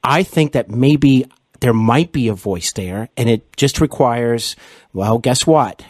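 A man's voice: medium pitch, slow, no echo. A man with a mid-range voice hosts a conversational interview in a dry acoustic. He wanders through his sentences hesitantly and keeps an encouraging manner toward his guest. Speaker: podcast host, interviewer.

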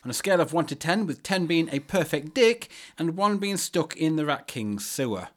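A man's voice: on the high side, expressive, normal speed, fairly bright tone. A man co-hosting a conversational theatre review podcast with a theatrical, presenter-like delivery.

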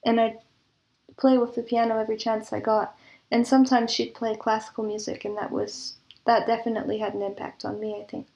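A woman with a fairly high voice talks as a guest in a casual interview. She reminisces in a thoughtful, measured way, pausing as she puts her answers together. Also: level tone, gravelly, a little mellow, low-quality recording. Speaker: interview guest, composer and audio drama producer.

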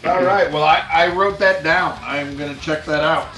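A man's voice: a little high, moderate pace, pushed and bright, reverberant, faint music behind. A man hosting a podcast, casual and upbeat, laughing and swearing as he chats with guests. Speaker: podcast host.

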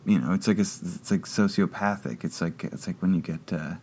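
A man in casual conversation as an interview guest, talking haltingly with many restarts and fillers. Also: deep voice, a little mellow.

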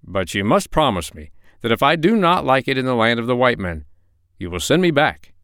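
A man's voice: mid-range voice, very animated, a little fast, a bit bright, clear, resonant tone, no room sound, clean studio sound. A man playing a shy, polite two-headed gazelle-like creature in a storybook, with a reserved manner. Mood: trusting.

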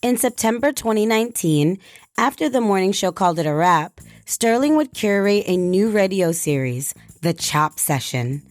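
A woman's voice: mid-range voice, expressive, moderate pace, dry recording, studio quality. A woman reading scripted documentary narration.

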